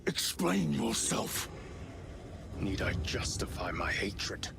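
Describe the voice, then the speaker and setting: A man's voice: lowish voice, sing-song, takes it slowly, gravelly, background noise, heavily acted. A man playing a vengeful, hot-headed space marine in a video game, intense and brooding, with a cinematic soundtrack behind him. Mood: bitter, defiant. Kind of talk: dramatic video game acting.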